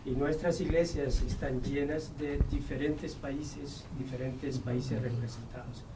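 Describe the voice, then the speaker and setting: Male panelist, measured and cautionary, far from the mic in an echoey room with loud noise. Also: medium pitch, fairly even intonation. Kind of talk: panel discussion. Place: conference room.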